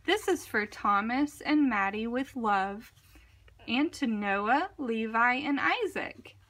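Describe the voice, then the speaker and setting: Woman, children's story narration, expressive, high-pitched, unhurried, deliberate. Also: a little hiss or hum. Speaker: storybook reader.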